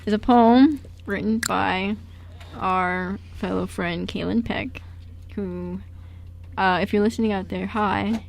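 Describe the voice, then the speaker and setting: Young woman, radio host, casual chat, informal, chummy, middle pitch. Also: steady tone, slow pace, creaky. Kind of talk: casual chat introducing readings.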